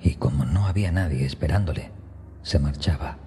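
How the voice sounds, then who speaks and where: A very low male voice tells a horror tale as a scripted first-person narration. The tone is dark and mellow, often sinking close to a hushed whisper. Faint music plays underneath. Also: fairly quick. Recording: music behind the voice. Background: background music.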